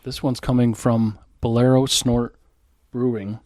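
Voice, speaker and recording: A man co-hosts a casual beer podcast, trading crude jokes in loose, filler-heavy talk. His voice sits at an average pitch, and the recording is dry and close. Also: level tone, a little slow, darkish tone, dry recording. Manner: crude joking, lots of fillers like "like". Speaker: podcast co-host. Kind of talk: casual chat over beers.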